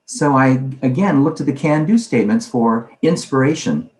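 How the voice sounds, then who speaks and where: A man presenting a conference talk in a conversational way, posing questions and then answering them himself. His voice sits at a medium pitch. The recording carries a roomy echo. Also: animated, moderate pace, darkish tone. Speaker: conference speaker on language teaching.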